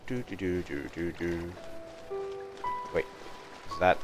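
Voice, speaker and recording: A man's voice: low voice, takes it slowly. A man livestreaming a game with casual commentary, thinking aloud and muttering to himself, sometimes reading on-screen text. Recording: game music behind the voice. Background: soft video game music.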